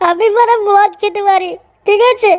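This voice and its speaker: Man as a squeaky boastful cartoon drunkard. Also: fairly even intonation, normal speed. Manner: coarse, bragging, swearing. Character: boastful, lecherous, drunken male cartoon friend. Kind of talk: amateur comedy cartoon dub.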